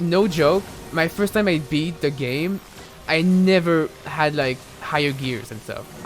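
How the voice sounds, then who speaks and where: A young man with a French accent chats loosely while he plays, drifting between thoughts and peppering his talk with fillers and false starts. His voice sits a little high for a man, and soft game music plays faintly behind him. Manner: rambling, chatty, many fillers and restarts. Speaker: game streamer. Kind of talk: casual live gaming commentary.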